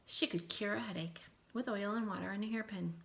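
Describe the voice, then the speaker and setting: A woman's voice: mid-range voice, brisk, creaky, background noise. A woman giving school announcements and reading a storybook aloud to young children. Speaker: elementary school staff member reading aloud.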